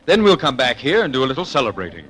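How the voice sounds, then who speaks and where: An actor playing a suave, wisecracking American adventurer in an old-time radio drama, with an American accent and breezy, wry banter. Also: male voice, mid-range voice, very animated, rapid-fire, loud music behind the voice, close to an everyday voice. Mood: relieved, breezy.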